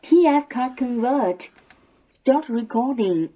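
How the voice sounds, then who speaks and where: A woman's voice: fairly high pitch, animated, slow, warm tone, low-quality recording. A synthetic woman's voice giving short status announcements through a small device speaker. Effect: played through a small device speaker. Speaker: device voice prompt.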